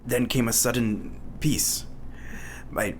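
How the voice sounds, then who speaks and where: A man playing a tormented madman in a radio play, delivering a halting, dramatic confession. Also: medium pitch, a little hiss or hum, natural voice. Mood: haunted. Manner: haunted, dramatic, halting monologue.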